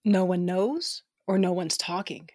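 Blunt, tough smuggler captain. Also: female voice, lowish voice, measured pace, darkish tone, husky, dry recording, studio quality. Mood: demanding, guarded. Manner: blunt, commanding, salty. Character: tough, shrewd female smuggler captain, guarded and determined. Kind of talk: audio drama acting and first-person narration.

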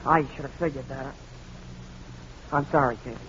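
A man's voice: medium pitch, steady tone, moderate pace, a bit bright, close to an everyday voice. An actor playing an anguished, lovesick, naive young man, earnest and melodramatic, in a 1940s radio crime drama. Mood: despairing. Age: young adult. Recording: muffled, noisy old radio recording.

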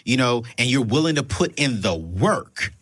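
A man's voice: mid-range voice, moderate pace, no room sound, studio quality. A man from South Carolina, hosting a casual podcast conversation and cheering his guest on. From Darlington, South Carolina. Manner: animated, encouraging interjections. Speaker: podcast host.

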